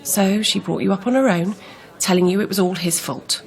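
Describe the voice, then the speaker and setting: A woman's voice: mid-range voice, normal speed, vocal fry, natural voice. An older woman acting a forthright, disapproving aunt with a grievance in a naturalistic radio drama. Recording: murmur of a crowd behind.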